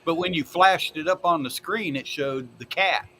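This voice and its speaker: A man's voice: a little high, normal speed, bright, forceful tone, rough recording. A man bantering casually and informally as a panelist in an online group chat. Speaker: panelist on an online fan discussion. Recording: faint background noise.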